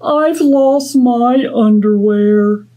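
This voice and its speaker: A woman voicing a forlorn, slow-witted storybook polar bear in a glum, drawn-out manner. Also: fairly high pitch, dark tone, pitched above the performer's own voice, poor audio. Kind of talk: expressive storybook read-aloud.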